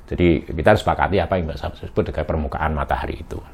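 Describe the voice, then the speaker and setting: A middle-aged man giving a recorded university lecture, halting and full of fillers and tag questions. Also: very deep voice, darkish tone, breathy edge. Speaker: astrophysics lecturer.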